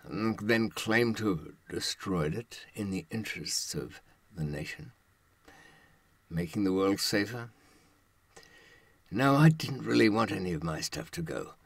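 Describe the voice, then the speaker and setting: Husky older man with a dramatic, swooping delivery. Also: on the low side, no echo. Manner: deliberate, dramatic phrasing with pauses and rhetorical questions. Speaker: monologist. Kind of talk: reflective spoken monologue to camera.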